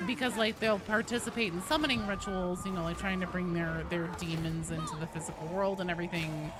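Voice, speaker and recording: A woman livestreamer chatting to her viewers in a casual, rambling, animated way, with salty slang. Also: on the low side. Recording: clearly noisy background.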